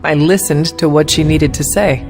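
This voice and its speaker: Game heroine, voiced by a woman: earnest, reserved, dryly formal, slightly low pitch, music behind. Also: moderate pace, lightly acted. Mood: calm, earnest. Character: earnest, reserved young woman hero with dry humour. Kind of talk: video game cutscene acting.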